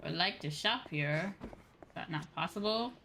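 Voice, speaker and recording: A woman's voice: fairly low pitch, expressive, normal speed, vocal fry, bright, forceful tone, a little hiss or hum. A woman doing casual gameplay commentary, musing aloud to herself and often apologetic. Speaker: streamer playing a video game. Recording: faint game music behind. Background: video game music.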